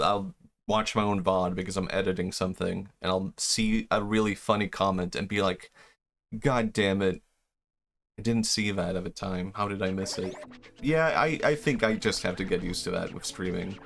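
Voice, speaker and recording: A man's voice: deep voice, vocal fry. A young man from Sweden, a native Swedish speaker, chatting casually and rambling with self-deprecating laughs while streaming a game. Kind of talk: casual live-stream chat while gaming.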